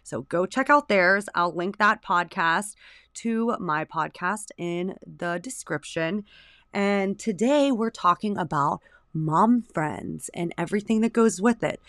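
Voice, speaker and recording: A woman's voice: on the low side, fairly bright tone, no echo, clean studio sound. A woman host opening her podcast alone, speaking upbeat and straight to her listeners. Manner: upbeat, direct address to listeners. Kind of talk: solo podcast intro.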